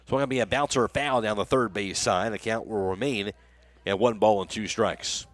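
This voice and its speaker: A man's voice: on the low side, normal speed, fairly bright tone. An American man doing live radio play-by-play commentary of a baseball game.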